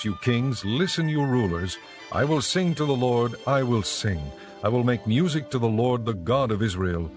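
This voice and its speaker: A man reading as the narrator of a scripted, dramatized scripture audiobook. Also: medium pitch.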